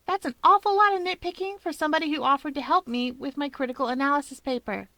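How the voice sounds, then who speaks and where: A woman's voice: high voice, fast talker, pushed and bright, dry recording, pitched above the performer's own voice. A woman voicing a snippy, self-assured, playfully haughty young woman who retorts peppily in a fan fiction audiobook. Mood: indignant.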